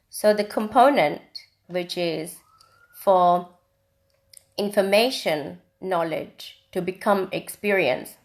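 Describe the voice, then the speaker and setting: A woman from India teaching on a live video stream in a reflective, casual way, with frequent ums and restarts. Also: mid-range voice. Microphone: phone or webcam on a live video stream.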